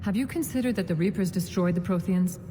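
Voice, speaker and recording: A woman's voice: fast talker, warm tone, close to an everyday voice. An actress playing a composed, grave female alien councilor in a game, speaking formally and diplomatically. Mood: grave, regretful. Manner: formal, solemn. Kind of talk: dramatic game dialogue. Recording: reverberant chamber, faint rumbling noise.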